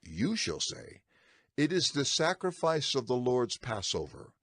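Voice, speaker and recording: A man playing an earnest male prophet instructing his people in a dramatized scripture recording, with a husky voice. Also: mid-range voice, sing-song, a little slow, fairly bright tone, dry recording, clean studio sound, full character voice. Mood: earnest, urgent instruction.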